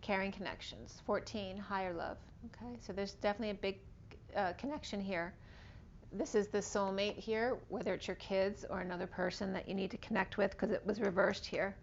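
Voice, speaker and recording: A woman giving a casual tarot reading, rambling through cards and star signs, her voice going hoarse. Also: mid-range voice, steady tone, a little fast, slight background noise. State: losing her voice. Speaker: tarot reader. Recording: dull lo-fi recording.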